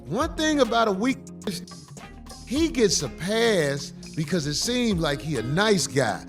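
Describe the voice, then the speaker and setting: A man ranting in a played video, animated and profane. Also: high-pitched, a little slow. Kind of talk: opinion commentary. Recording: faint music under the voice.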